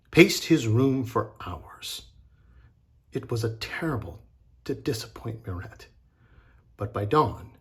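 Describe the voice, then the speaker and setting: A man reading a storybook aloud for children as a teacher, dramatic and deliberate. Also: medium pitch.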